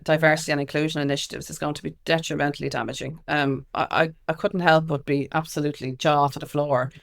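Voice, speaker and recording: A woman's voice: low-pitched, fairly even intonation, fast, gravelly. A woman with an Irish accent giving earnest, outspoken answers in a conversational interview. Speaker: interview guest, activist and music consultant.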